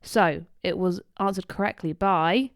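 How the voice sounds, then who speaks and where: A woman's voice: lowish voice, a little slow, no echo, studio quality. A woman co-hosting a podcast with a slight cold, teasing and laughing in casual chat. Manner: playful, teasing, laughs often. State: has a cold, slightly stuffy. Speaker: podcast co-host.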